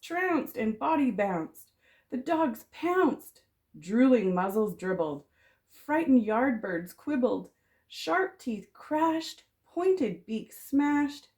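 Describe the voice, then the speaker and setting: A woman reads a picture book aloud like a kindergarten teacher. Her voice is fairly high and swings widely in pitch. She takes it slowly and stretches rhyming words with dramatic expression. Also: expressive. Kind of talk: children's storybook read-aloud.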